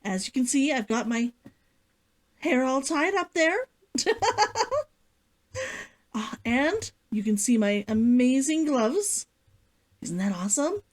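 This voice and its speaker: A woman hosting a read-along stream, talking to viewers and reading a story as an excitable vtuber. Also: high voice, slow pace, pauses often. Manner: giddy, giggly, gushing and repetitive while chatting.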